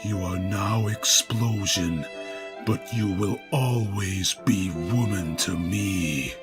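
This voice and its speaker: Man acting romantic tough cartoon cat, solemn, gravelly, slow, melodramatic story delivery. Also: low voice, over music. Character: stern, manly, romantic cartoon cat hero.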